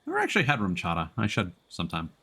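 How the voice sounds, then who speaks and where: A man with a fairly low voice hosts a casual game stream. His pitch moves around in a lively way as he chats and jokes. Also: racing, vocal fry. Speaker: live streamer. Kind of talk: casual gameplay stream chat.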